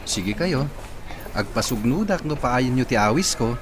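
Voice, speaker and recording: An actor as an easygoing young man with a mid-pitched voice. He is courteous and generous in an amateur audio drama, over clearly noisy ambience. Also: animated, unhurried, natural voice. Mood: friendly, generous.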